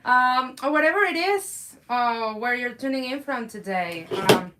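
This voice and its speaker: A woman's voice: on the high side, lively intonation, unhurried, very noisy. A woman co-owner hosting a live bonsai stream, chatting cheerfully with viewers. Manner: upbeat, chatty, addresses viewers.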